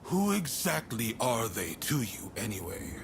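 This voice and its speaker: A man's voice: medium pitch, sing-song, unhurried, gravelly, noisy background. A man playing a reflective, wry mentor in a samurai video game, speaking in a conversational, musing way. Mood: probing, resolute. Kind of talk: video game cutscene acting.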